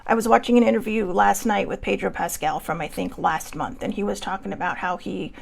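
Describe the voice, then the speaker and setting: American woman with a very creaky voice. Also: medium pitch, fairly even intonation. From United States. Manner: earnest, halting and reflective, with emphatic repetition. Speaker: livestream host. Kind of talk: personal, heartfelt spoken commentary.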